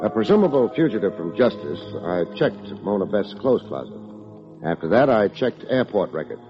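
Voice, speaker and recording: An actor playing a wry, wordy hard-boiled private detective with dry sardonic delivery, in old-time radio drama style. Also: male voice, on the low side, big pitch swings, moderate pace. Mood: probing. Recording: muffled old radio recording with music.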